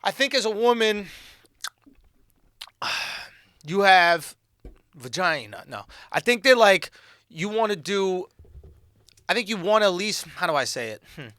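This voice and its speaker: A man with a high, bright voice hosts a comedy podcast. He riffs brashly in loose slang and swears freely. The sound is close and dry. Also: measured pace, pauses often, dry recording. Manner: brash, animated riffing with slang and profanity. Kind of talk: casual comedic podcast banter.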